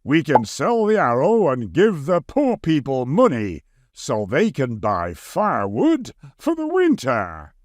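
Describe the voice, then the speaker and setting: Man doing a jolly giant outlaw, pitched up. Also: huge pitch swings, slow pace, few pauses, no echo, studio quality. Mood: eager. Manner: jolly, eager. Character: jolly giant merry man, big-hearted and eager.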